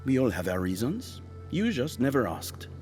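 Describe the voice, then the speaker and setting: An actor playing an irritable, world-weary hired killer in an audio drama, dry, sarcastic and menacing. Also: male voice, mid-range voice, huge pitch swings, moderate pace, darkish tone, in a large hall, faint music behind. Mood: grave, guarded.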